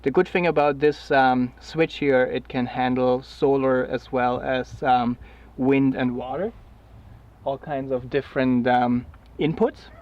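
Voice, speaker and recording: A man from Germany with a German accent, English his second language, giving a casual halting tour of his tiny house with many fillers. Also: mid-range voice, fairly even intonation, darkish tone, muffled recording. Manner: halting, many fillers and restarts, laughs often. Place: small wooden tiny house, indoors and just outside.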